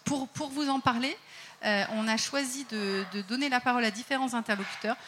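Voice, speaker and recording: A woman with a slightly higher voice welcomes a conference audience through a mic. She introduces each speaker formally, pausing now and then on small fillers. A faint murmur of the room sits behind her. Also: fairly bright tone, slight background noise. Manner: hesitant, some fillers. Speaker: event organizer.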